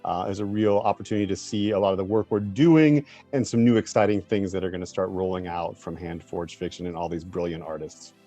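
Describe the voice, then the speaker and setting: A man's voice: low voice, steady tone, fairly quick, hardly any pauses, mellow, faint music behind. A man being interviewed as a writer-director, talking reflectively and earnestly, often repeating phrases for emphasis.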